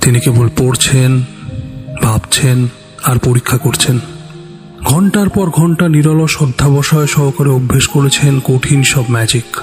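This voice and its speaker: Male story narrator over soft music. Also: mid-range voice, unhurried. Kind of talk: scripted dramatic story narration. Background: background music.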